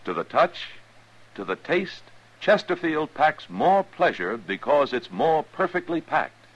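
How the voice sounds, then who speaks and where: A man's voice: fairly high pitch, very animated, a little slow, a bit bright, husky. A man reading a 1950s radio advertisement as an announcer, with a polished, emphatic sales delivery. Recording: muffled old radio recording.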